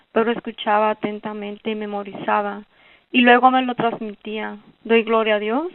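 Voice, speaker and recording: A woman's voice: fairly high pitch, vocal fry, no room sound. A woman in her fifties with a Guatemalan accent giving a prepared testimony as a listener, on a narrow, distant recording. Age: 50s. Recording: narrow phone-like sound, distant mic.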